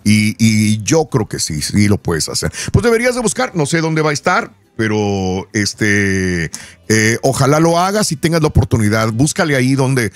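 A male radio host whose voice swings up and down with lively pitch. He speaks affectionately to callers, with a close, dry sound and faint music underneath. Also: moderate pace, no room sound. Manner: affectionate, calls listeners "mi amor". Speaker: radio morning show host. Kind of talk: live radio chat.

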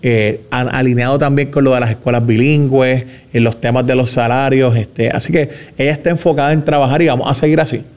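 A Puerto Rican man speaking as a government spokesman in a radio interview, measured and emphatic, with fillers and restarts. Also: mid-range voice, fairly even intonation, darkish tone. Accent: Puerto Rican Spanish. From Puerto Rico.

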